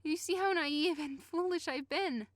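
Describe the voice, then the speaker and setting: Woman acting plaintive, high-voiced lovesick young woman. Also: a little slow, no room sound. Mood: pleading. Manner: plaintive, pleading, melodramatic. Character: tall, timid, lovesick young woman, easily wounded. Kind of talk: modernized Shakespeare audio drama acting.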